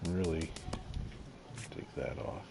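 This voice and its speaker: A man casually commenting on his gameplay, slightly nasal from allergies, halting with lots of fillers. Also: creaky. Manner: halting, many fillers, unhurried and low-key. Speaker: gaming video creator. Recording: audible background noise.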